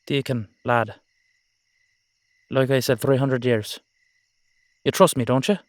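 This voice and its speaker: A man's voice: mid-range voice, steady tone, slow pace, no echo, studio quality, lightly acted. A man acting an earnest, loyal old Irish friend in an audio roleplay, emphatic, coaxing and salty-tongued. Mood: reassuring.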